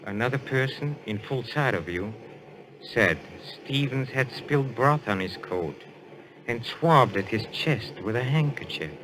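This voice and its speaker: An actor playing a suave, assured detective in a radio mystery, speaking in a courtly, deliberate way. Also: male voice, medium pitch, lively intonation, fairly bright tone, slight background noise, poor audio. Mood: grave, revealing. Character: suave, assured French detective, male, posing as a medium. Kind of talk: old-time radio mystery acting.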